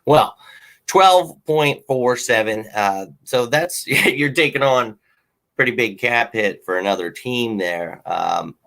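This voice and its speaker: A man hosting a casual sports fan show, rambling and opinionated with lots of ums, his voice slightly hoarse. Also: mid-range voice, animated, slow pace, fairly bright tone. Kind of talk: casual sports talk with viewer comments.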